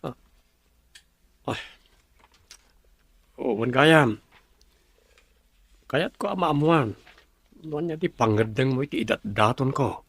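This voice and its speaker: A man's voice: mid-range voice, expressive, slow pace, pauses often, a bit bright, husky, no echo, full character voice. A man with a Filipino accent playing a rich, bitter suitor with a temper in an Ilocano drama. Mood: gently pleading.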